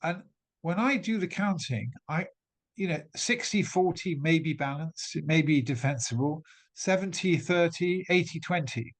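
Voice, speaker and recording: A man's voice: fairly high pitch, lively intonation, normal speed. A middle-aged man raised in England, speaking as a guest to an audience and building an emphatic argument point by point, with frequent restarts. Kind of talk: talk to an audience.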